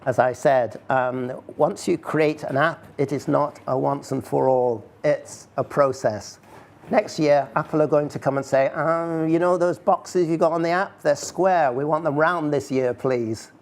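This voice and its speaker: A man's voice: fairly high pitch, measured pace. A man speaking informally as he gives a talk and takes questions, with a wry, rhetorical way of talking.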